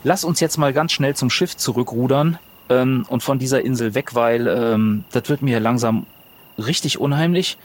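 A man's voice: mid-range voice, moderate pace. A man playing a tabletop roleplaying game, improvising conversationally in character.

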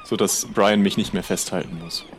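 A man's voice: deep voice, fairly even intonation, moderate pace, background noise. A man role-playing casually in an improvised adventure game, tentatively thinking aloud as a player.

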